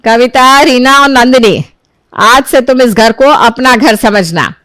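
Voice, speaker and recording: A woman's voice: on the high side, slow pace, a bit bright, no room sound. A woman playing a strict mother-in-law. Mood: instructive. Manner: firm, commanding. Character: strict middle-aged mother-in-law, stern head of house.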